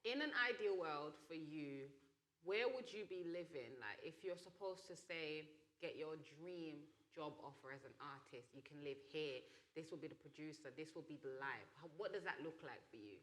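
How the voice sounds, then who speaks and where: A man's voice: a little high, expressive, moderate pace, a bit bright. A man hosting a casual interview, asking questions and chiming in with short, encouraging affirmations.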